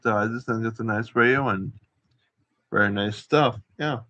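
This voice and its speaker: A man giving a casual, rambling show-and-tell as an amateur vlogger, haltingly searching for words and repeating himself, on muffled home video audio. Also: fairly low pitch, bright, forceful tone. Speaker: amateur vlogger showing gear.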